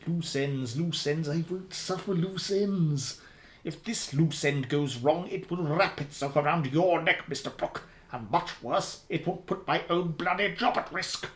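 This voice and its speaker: A man's voice: a little high, measured pace, close to an everyday voice. An actor playing a sardonic, menacing spy boss in a radio play, pompous, wordy and precise in his sarcasm. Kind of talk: radio drama acting. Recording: roomy, echoing sound.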